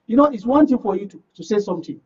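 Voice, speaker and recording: Male Nigerian guest pundit, high-pitched, dark raspy tone, dull broadcast audio. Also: lively intonation, racing. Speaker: guest commentator. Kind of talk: political discussion. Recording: muffled, dull broadcast sound.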